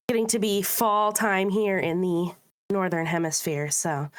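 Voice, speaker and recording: A woman co-hosting a podcast in casual chat, upbeat and chatty, her voice a little rough and hoarse from a recent illness. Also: mid-range voice, flat delivery, slow. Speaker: podcast co-host.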